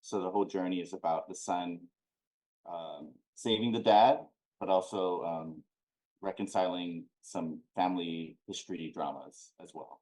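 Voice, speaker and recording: A man from Hawaii, a playwright guest, answering interview questions casually and haltingly. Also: deep voice, a little mellow, rough recording.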